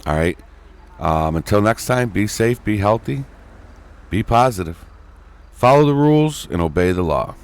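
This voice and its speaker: A man's voice: lowish voice, lively intonation, normal speed, long pauses. A man hosting a casual gaming tutorial, talking in a folksy way straight to his viewers. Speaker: host, gaming tutorial creator. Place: indoors at a gaming computer, voice over screen recording.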